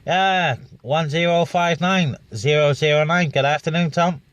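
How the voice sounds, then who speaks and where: A man chatting on a CB radio net from inside his parked vehicle, pausing often on fillers. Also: on the high side, fairly even intonation, a bit bright, smooth, dry recording. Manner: chatty, with many filler pauses. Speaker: CB radio operator. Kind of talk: casual radio chat and vlog talk.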